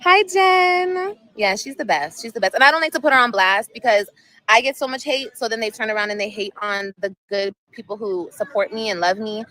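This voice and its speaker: A woman's voice: fairly high pitch, fairly quick, bright, forceful tone, poor audio. Emotional woman in her thirties livestreaming. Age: 30s. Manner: emotional, rambling, repeats herself. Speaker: vlogger. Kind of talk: casual livestream chat. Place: outdoors at sunset.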